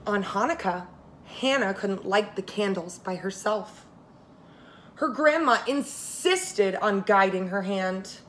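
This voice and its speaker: A woman preschool teacher reading a story aloud to young children, expressive and speaking to them directly. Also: mid-range voice, slow, a little hiss or hum.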